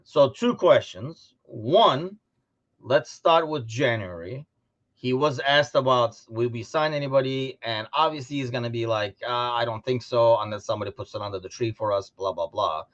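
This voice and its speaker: A man co-hosting a casual sports podcast, talking informally and thinking out loud as he discusses. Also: mid-range voice, normal speed, lo-fi sound. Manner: informal, conversational, thinking aloud. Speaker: podcast co-host.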